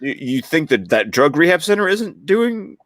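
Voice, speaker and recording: A man's voice: a little high, very animated, moderate pace, fairly bright tone, no echo, clean studio sound. A man co-hosting a recap show, talking casually and reflectively as he analyses the story and draws comparisons. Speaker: podcast co-host discussing cartoon episodes. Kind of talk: casual chat, commentary.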